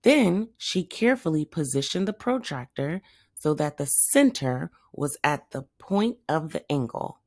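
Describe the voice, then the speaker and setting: Woman, low voice, slow expressive storybook narration, creaky edge, teacher reading aloud. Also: no echo, studio quality.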